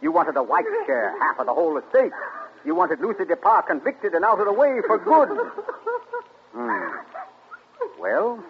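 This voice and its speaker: An actor playing a suave, gloating male amateur sleuth in 1940s radio acting, theatrical and deliberate. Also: a little high, huge pitch swings, lo-fi sound. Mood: triumphant. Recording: scratchy 1940s radio broadcast.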